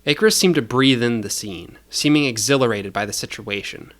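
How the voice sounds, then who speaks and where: A man's voice: medium pitch, a little fast, fairly bright tone. A man narrating scripted fiction as a storyteller, in a dramatic style.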